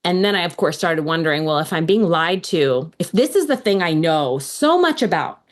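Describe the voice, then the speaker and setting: A woman's voice: on the low side, expressive, normal speed. A woman, a law professor raised in the United States whose first language was Hebrew, telling her story earnestly in an interview. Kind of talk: podcast interview.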